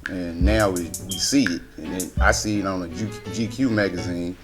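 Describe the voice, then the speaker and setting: A man's voice: deep voice, fairly even intonation, moderate pace, music in the background. A man in his thirties from Arkansas, rambling casually in an interview, often restarting his sentences. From Hot Springs, Arkansas. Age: 30s.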